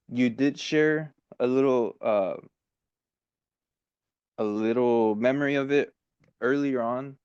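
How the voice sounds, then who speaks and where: A man's voice: mid-range voice, a little mellow, clean tone, no echo, muffled recording. A man hosting a podcast, asking short friendly questions in a casual interview. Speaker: podcast host.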